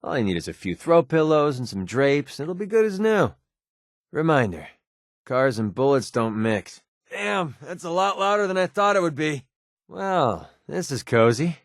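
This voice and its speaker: A man's voice: fairly high pitch, big pitch swings, normal speed, no room sound, clean studio sound. An actor playing a sardonic young male mercenary in a video game, with an American accent and a casual, grumbling way of talking. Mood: wry, then alarmed, disgusted, then watchful. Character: wry young male mercenary sniper in a post-apocalyptic wasteland. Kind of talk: video game companion dialogue.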